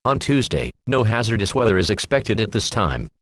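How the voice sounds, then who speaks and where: A man reading scripted emergency alerts and weather bulletins as a radio announcer, in a flat, official, impersonal manner. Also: on the low side, normal speed, a little hiss or hum.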